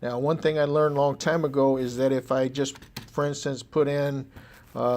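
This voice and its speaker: A man giving an informal tutorial as a support trainer, explaining step by step in a halting, conversational way with many filler sounds. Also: medium pitch, a little mellow. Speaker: software trainer, company support staffer. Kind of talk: informal screen-recorded tutorial walkthrough.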